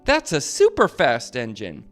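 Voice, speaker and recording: A man's voice: mid-range voice, wildly swooping pitch, measured pace, faint music behind. A man voicing an eager, upbeat young female hero in a children's storybook, cheering her friends on.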